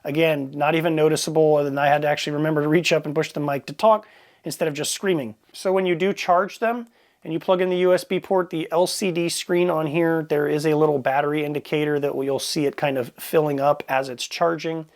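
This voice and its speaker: Man with a higher-pitched voice reviewing gear. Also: fairly even intonation, brisk. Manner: plainspoken, conversational, matter-of-fact. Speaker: product reviewer, host. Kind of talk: casual unscripted product review.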